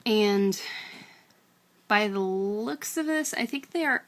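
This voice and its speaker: A woman's voice: mid-range voice, animated, takes it slowly. A young American woman chatting informally and self-deprecatingly in a casual diary-style vlog. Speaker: vlogger; actress. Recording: clear background noise, room ambience.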